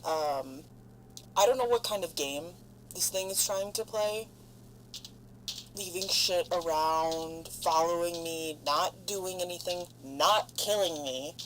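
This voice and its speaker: A woman acting a weary, foul-mouthed, defiant lone spaceship survivor logging a halting, sardonic monologue in an audio drama. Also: lowish voice, a bit bright. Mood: fed up, defiant. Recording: clear background noise, humming ship ambience. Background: noisy hum of spaceship sound design.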